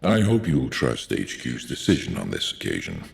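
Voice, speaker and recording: An actor playing a grave, composed military commander in a video game, speaking in a measured, solemn, authoritative way with a gravelly voice. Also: male voice, a bass voice, wildly swooping pitch, heavily acted. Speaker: game character. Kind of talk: scripted video game dialogue. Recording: roomy echo.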